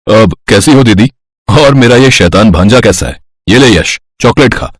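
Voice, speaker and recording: A man voicing an affectionate, teasing grown brother in a cartoon, hearty and husky. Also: lowish voice, lively intonation, normal speed, no room sound.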